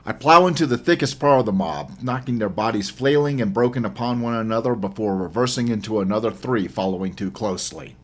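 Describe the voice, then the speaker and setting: Male author-narrator, deep and rough-edged, delivering first-person horror prose with dramatic intensity. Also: lively intonation, fairly quick, hardly any pauses. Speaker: author reading own audiobook.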